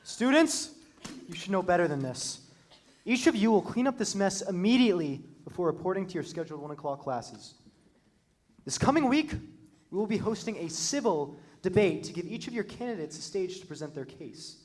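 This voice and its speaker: A man's voice: high voice, very animated, moderate pace, a little mellow. A young man in a student staged reading playing a stern school dean giving formal orders to students. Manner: formal, authoritative speech-making.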